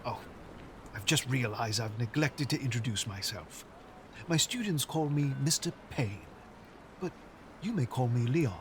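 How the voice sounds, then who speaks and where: An actor playing a genial, courteous schoolteacher in an audio drama, polite and wordy. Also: male voice, medium pitch, animated, a little slow, pauses often, close to an everyday voice. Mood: admiring. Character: genial, courteous male schoolteacher, no longer young. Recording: noticeable street ambience noise.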